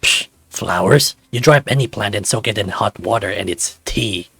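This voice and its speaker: Man doing a low, gruff dragon dad. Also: normal speed, bright, forceful tone, no echo, heavily acted. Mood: grumpy.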